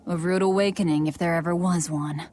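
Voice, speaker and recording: A woman's voice: fairly low pitch, steady tone, a little fast, darkish tone, a little hiss or hum, lightly acted. A woman playing a cold, pragmatic, guarded young female fighter in dubbed game dialogue, terse and detached. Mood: cool, detached.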